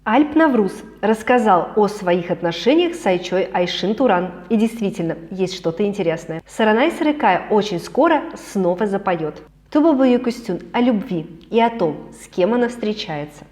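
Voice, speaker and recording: Hoarse woman hosting a news roundup. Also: medium pitch, normal speed, few pauses, echoey room. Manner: lively, chatty, with personal asides. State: hoarse from a cold. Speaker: news show host. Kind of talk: weekly celebrity and travel news roundup.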